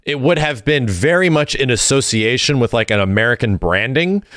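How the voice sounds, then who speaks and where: A man's voice: mid-range voice, lively intonation, normal speed, fairly bright tone, dry recording, studio quality. A man co-hosting a podcast, chatting casually as he reminisces and comments. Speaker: podcast co-host.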